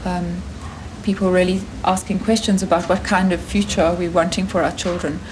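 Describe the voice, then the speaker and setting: South african woman in an interview, pretty flat pitch, stops and rephrases, bit of noise. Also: moderate pace. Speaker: anti-conscription campaigner. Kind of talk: unscripted interview answer.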